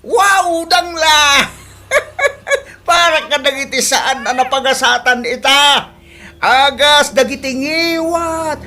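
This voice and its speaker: A woman's voice: high-pitched, exaggerated voice. A woman acting in an Ilocano radio comedy drama as a brash, gloating, theatrical woman with an Ilocano accent. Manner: loud, taunting, theatrical. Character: brash gloating woman mocking losers on social media. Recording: music behind the voice.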